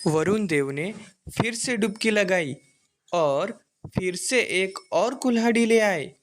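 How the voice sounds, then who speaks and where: A man narrates a scripted children's story. His voice is a little high and he goes at an unhurried, slow pace. There is slight noise behind him. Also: animated.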